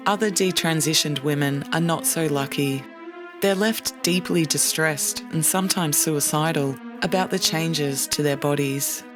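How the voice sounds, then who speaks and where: A podcast narrator reading a scripted documentary narration in a measured, serious way. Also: mid-range voice. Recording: dry studio voice with faint music.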